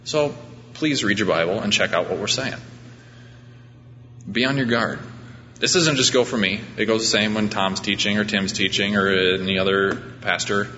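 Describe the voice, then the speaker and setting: Deep-voiced man preaching in a reverberant hall. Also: moderate pace, pushed and bright. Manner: conversational, self-deprecating. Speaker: pastor preaching at a church. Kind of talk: informal sermon. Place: large reverberant church room during a service. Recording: reverberant hall, muffled, background hum.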